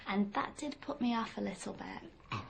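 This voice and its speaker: A woman's voice: medium pitch, normal speed, vocal fry, slight background noise, poor audio. A woman in her twenties from England, chatting confidently as a dating show contestant. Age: 20s. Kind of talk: interview confessional. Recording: music underneath.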